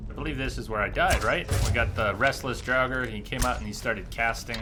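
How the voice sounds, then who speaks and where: A man's voice: medium pitch, a little fast, background noise. A man livestreaming a video game, chatting casually and thinking aloud as he comments on his play. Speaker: livestream gamer. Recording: game music behind the voice. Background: video game music.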